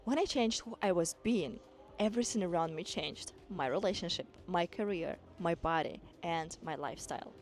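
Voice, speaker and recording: A woman's voice: on the low side, normal speed. A woman from Kazakhstan, a dance school owner and women's coach, giving an earnest, encouraging motivational talk to camera, with small non-native grammar slips. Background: background music.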